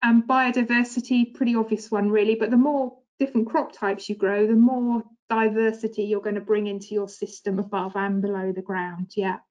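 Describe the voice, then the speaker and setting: A woman's voice: medium pitch, a little mellow, highs cut off. A woman presenting a farming webinar over a video call, talking conversationally with many fillers. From England. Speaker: agronomist presenter. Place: indoor home office. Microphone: webcam video call.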